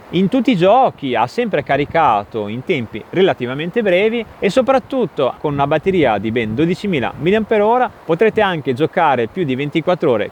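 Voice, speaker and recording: Man, scripted review narration, emphatic and enthusiastic, highly varied intonation, faint background noise. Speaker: tech reviewer, video host.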